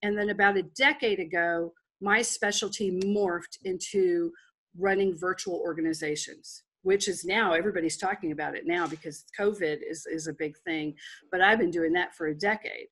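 A woman's voice: lowish voice, fairly even intonation, moderate pace, fairly bright tone, slightly rough. A woman in her forties, a business guest speaking frankly and at length over a video call on a headset. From Texas. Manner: frank and rambling, with restarts.

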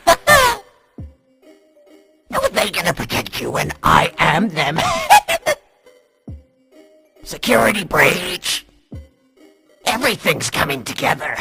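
A woman voicing a gleeful, menacing glitch villain in an animated fan video, gloating and taunting in a theatrical monologue. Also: low-pitched, gravelly, heavily acted. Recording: music behind.

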